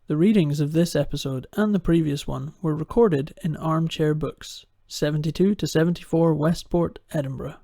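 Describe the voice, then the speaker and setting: A man reading scripted closing credits as the show's producer, in a plain, measured announcing manner. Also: fairly high pitch, creaky, warm tone, dry recording, clean studio sound. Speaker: producer, writer and host.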